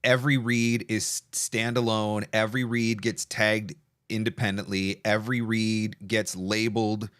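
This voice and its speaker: A middle-aged man with a low-pitched voice speaks as a webinar host. His delivery is conversational, enthusiastic and emphatic, and the sound is dry with hardly any room in it. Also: flat delivery, a little slow, fairly bright tone, no echo. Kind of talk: casual interview and product pitch.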